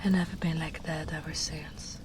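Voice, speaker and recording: A woman voicing a gentle, protective big female ogre in a tender, soft-spoken ASMR roleplay, often slipping into whispers. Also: sits low, steady tone, moderate pace, background noise, lightly acted. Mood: somber.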